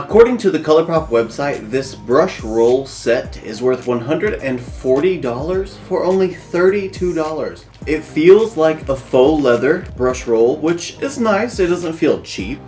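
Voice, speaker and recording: A man with a congested, nasal voice from a cold, chatting through a makeup product review and listing items slowly and carefully. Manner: chatty and expressive, lively jumps in pitch. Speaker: beauty vlogger reviewing makeup. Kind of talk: upbeat product review vlog.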